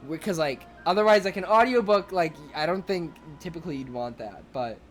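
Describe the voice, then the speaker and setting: A young man streaming, chatting casually and excitably with viewers, rambling with lots of fillers. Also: a little high, fairly quick, faint music behind. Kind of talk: casual chat reading viewer comments.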